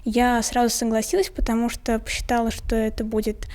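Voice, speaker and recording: A young woman answers interview questions spontaneously. Her voice is somewhat high, with a gentle, mellow tone and little brightness. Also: level tone, fairly quick. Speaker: competition participant.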